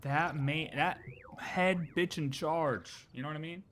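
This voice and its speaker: A man's voice: on the high side, steady tone, measured pace, a bit bright, a little hiss or hum. A man chatting casually as a game livestreamer, emphatic and slangy, often repeating his phrases.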